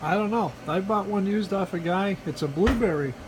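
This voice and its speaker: A man's voice: high voice, moderate pace. A man giving a casual, joking product demonstration, talking in folksy slang and repeating himself with mock earnestness. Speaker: hobbyist video presenter. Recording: noisy recording with steady background hum.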